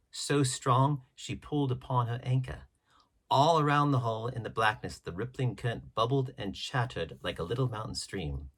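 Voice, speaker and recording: A man narrates a literary adventure tale as an audiobook reader. His voice sits at a medium pitch and has a husky, unpolished grain to it. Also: a bit bright.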